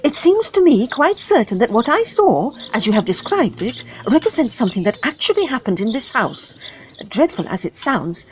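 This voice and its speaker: A woman's voice: fairly high pitch, a little mellow, slight background noise. A woman playing a polite, earnest young Edwardian lady in an audio drama, with a formal English manner of speech. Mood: grave, earnest. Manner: genteel, measured, formal.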